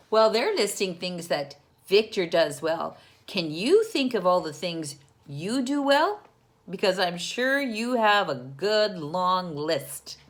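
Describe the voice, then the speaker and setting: A woman teacher doing a storytime read-aloud, talking encouragingly and directly to young children and asking them questions. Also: fairly low pitch.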